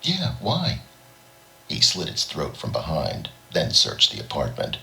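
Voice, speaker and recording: A man's voice: very deep voice, expressive, moderate pace, gravelly, bright, forceful tone, poor audio. A man reading a scripted short story as an audiobook narrator. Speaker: audiobook reader.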